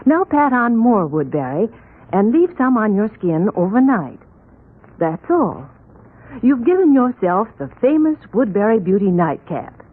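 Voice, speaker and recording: Husky, animated woman radio announcer. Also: medium pitch, unhurried. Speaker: commercial announcer. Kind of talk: scripted 1940s radio advertisement read. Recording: muffled narrowband 1940s radio recording.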